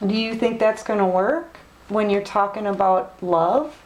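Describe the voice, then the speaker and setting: A woman giving a casual online tarot reading, chatty and direct, gently scolding her listeners. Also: mid-range voice, a little slow, low-quality recording. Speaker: tarot reader.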